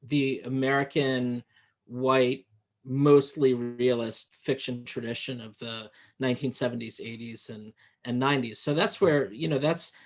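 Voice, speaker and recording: A man author talking over a video call, deliberate and hesitant, with fillers. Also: medium pitch, steady tone. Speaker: author and panelist. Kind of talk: reflective conversation.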